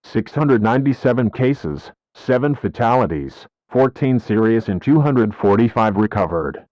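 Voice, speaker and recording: A synthetic-sounding man reading a scripted emergency bulletin in a formal, flat, list-like manner. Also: medium pitch, moderate pace, no room sound. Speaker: emergency alert announcer. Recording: dull lo-fi audio.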